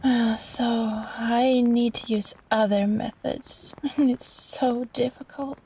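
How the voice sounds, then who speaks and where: A woman voicing a sweet but possessive catgirl vampire in an intimate roleplay monologue, soft and halting, pleading, with small sighs. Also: fairly high pitch, steady tone, breathy edge, exaggerated voice, rough recording. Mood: wistful, self-pitying.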